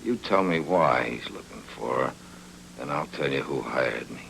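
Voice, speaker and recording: Super deep gravelly noir captive, beaten up and bargaining, old noisy film sound. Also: male voice, animated, normal speed, fairly bright tone, exaggerated voice. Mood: weary, guarded. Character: battered male captive bargaining under interrogation. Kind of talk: 1940s film noir acting.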